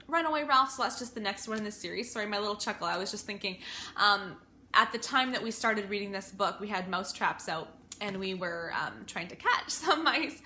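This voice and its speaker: A woman's voice: medium pitch, sharp tone, slight background noise. A woman in her thirties talking informally in a casual, chatty review vlog, working through her opinions conversationally with some hesitation. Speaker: homeschooling parent vlogger.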